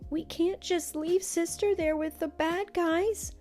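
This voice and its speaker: A woman's voice: extremely high pitch, steady tone, slow, darkish tone, soft background music, voice pushed up. A woman voicing an eager, earnest little girl in a children's storybook, childlike and emphatic. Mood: pleading.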